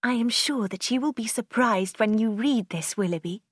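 A woman's voice: on the high side, moderate pace, husky, no room sound, studio quality. A woman voicing a passionate, heartbroken young woman in an audiobook, with a tearful, pleading manner. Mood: eager, yearning. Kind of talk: audiobook character acting in a simplified classic novel.

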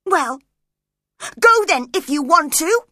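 Woman reader putting on a headstrong young woman, imperious, quick to anger, slow delivery, dry sound. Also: lowish voice, big pitch swings, fairly bright tone, no echo, full character voice. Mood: furious.

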